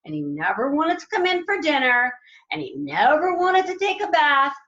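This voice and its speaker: A woman reading a children's storybook aloud like a teacher, with drawn-out, dramatic emphasis and asides to her young listeners. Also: sits high, huge pitch swings, pushed and bright, roomy sound, dull audio. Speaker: elementary school teacher reading aloud to pupils.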